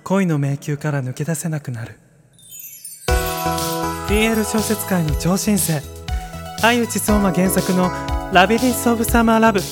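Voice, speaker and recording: A man's voice: high voice, moderate pace, pauses often, natural voice. A man playing a melodramatic trailer announcer for a romance film, in dramatic scripted narration. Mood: dramatic, grand. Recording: music behind the voice.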